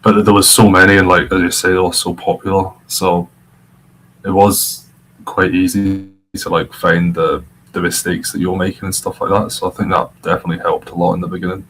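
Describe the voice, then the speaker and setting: A man's voice: low-pitched, flat delivery, a little fast, fairly bright tone, a little hiss or hum, rough recording. A young man from Scotland, a podcast guest, chatting casually and rambling with fillers. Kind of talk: casual chat.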